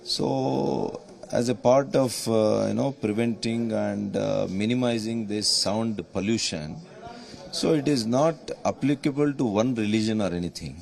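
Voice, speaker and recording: A man with an Indian accent giving unscripted remarks to the press in halting, measured phrases. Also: on the low side, creaky, a little mellow.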